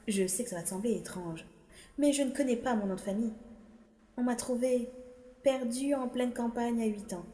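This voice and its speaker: A woman voicing a spirited, blunt young orphan in an animated film fandub, speaking directly and earnestly. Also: a little high, moderate pace, close to an everyday voice. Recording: echoey reverb with film music.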